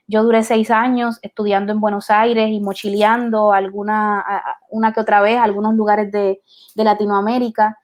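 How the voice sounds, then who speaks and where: A woman, an artist answering interview questions in a thoughtful way, with many pauses and restarts. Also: a little high. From Puerto Rico. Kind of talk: reflective conversation.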